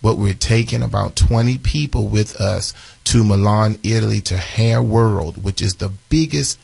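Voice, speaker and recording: A man in his forties with a raspy voice, hosting a live morning radio show and chatting through announcements with lots of fillers and restarts. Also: fairly low pitch, moderate pace, a little mellow, no echo. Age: 40s. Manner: chatty, repeats phone numbers, many fillers and restarts.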